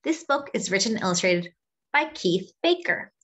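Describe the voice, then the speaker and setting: A young adult woman reading a picture book aloud to small children, addressing them in a deliberate sing-song way. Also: mid-range voice, animated, fairly bright tone, slight background noise. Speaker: children's story reader.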